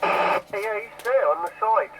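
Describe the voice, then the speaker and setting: A man's voice: high voice, unhurried. An agreeable man chatting casually over CB radio, often repeating himself. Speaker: amateur CB radio operator. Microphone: CB radio set. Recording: dull, lightly hissy CB radio.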